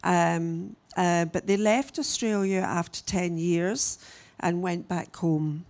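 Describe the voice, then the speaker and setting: Older lady in her 60s giving a church talk, slow and halting, kinda low voice. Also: steady tone, darkish tone. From Scotland. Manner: halting, unhurried storytelling with frequent pauses. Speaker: guest speaker, missionary. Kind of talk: church message, personal testimony.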